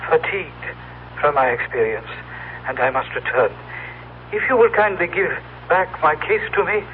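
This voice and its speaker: A man's voice: a little high, expressive, moderate pace, lightly acted. An actor playing a solemn, weary man from the far future, with formal, stilted phrasing, in an old-time radio drama. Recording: tinny, noisy old radio recording.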